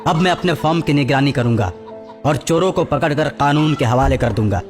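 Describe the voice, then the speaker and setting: A man's voice: on the high side, fairly quick, lightly acted. A man voicing a determined, indignant poultry farm owner in a cartoon story, declaring things resolutely. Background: background music.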